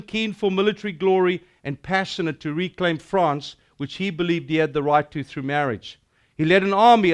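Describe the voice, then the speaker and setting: A middle-aged man with a South African accent preaching a sermon, animated and declamatory as he tells a battle story and recites verse. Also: high-pitched, smooth. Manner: animated and declamatory, gesturing widely.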